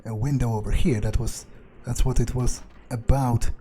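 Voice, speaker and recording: A man's voice: medium pitch, fairly even intonation, normal speed, dark tone, natural voice. A man playing a wary, foul-mouthed vampire in a game playthrough, thinking aloud in a hesitant mutter. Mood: tense muttering. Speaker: let's player. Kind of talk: in-character gameplay commentary, thinking aloud. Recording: noticeable background noise.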